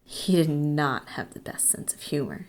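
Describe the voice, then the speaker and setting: A woman's voice: sits low, animated, a little slow. A woman playing a young college student of the occult, narrating her story in a wry, world-weary, confiding way for an audio drama. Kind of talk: first-person scripted audio drama narration.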